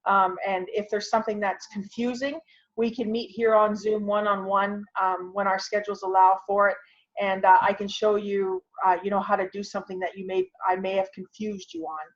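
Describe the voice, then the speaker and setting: A woman's voice: medium pitch, little pitch movement, normal speed. A woman giving an informal online tutorial, talking conversationally and thinking aloud as she demonstrates over a video call. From Canada. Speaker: online class instructor. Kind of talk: informal tutorial with screen sharing. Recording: muffled, lo-fi video call audio.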